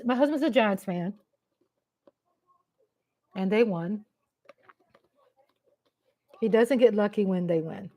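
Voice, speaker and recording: A woman's voice: medium pitch, fairly bright tone. A woman from the American South with a Texas accent, hosting a casual live chat stream from home in a playful, teasing way.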